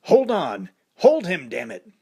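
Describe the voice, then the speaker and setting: A man's voice: fairly high pitch, lively intonation, moderate pace, highs cut off, pitched above the performer's own voice. A man voicing a tense male boxing trainer who barks urgent orders and curses, raised above his own voice. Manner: barking orders, cursing.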